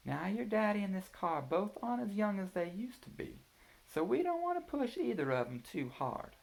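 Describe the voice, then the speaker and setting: A man voicing a practical, organized mother who calmly lays out a family road trip. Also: high voice, moderate pace, gravelly, pitched above the performer's own voice, full character voice. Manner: steady, matter-of-fact planning tone.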